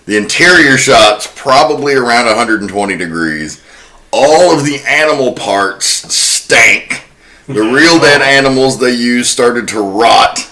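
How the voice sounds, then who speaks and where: Bright-voiced man on a casual podcast. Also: medium pitch, lively intonation, unhurried, a little hiss or hum, rough recording. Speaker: podcast co-host. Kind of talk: casual panel discussion.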